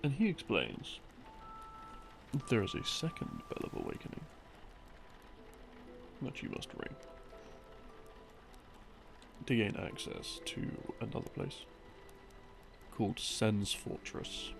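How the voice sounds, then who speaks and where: A man giving a halting, unhurried sleep-aid ramble, explaining things from memory and trailing off as he muses. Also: medium pitch, huge pitch swings, vocal fry. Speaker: audio creator playing a rambling boyfriend. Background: soft background music.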